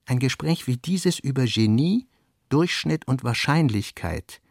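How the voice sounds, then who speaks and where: Measured man narrating, dry studio sound. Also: medium pitch, expressive, gravelly, no room sound. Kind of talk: literary scripted narration.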